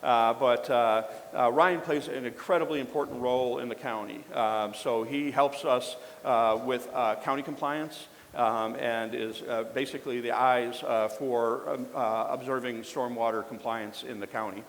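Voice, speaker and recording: A man with a mid-pitched voice addresses a public forum. He talks haltingly and breaks often with fillers and restarts. His voice carries a long hall reverb. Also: fairly even intonation, slight background noise. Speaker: forum presenter and moderator. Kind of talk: public presentation.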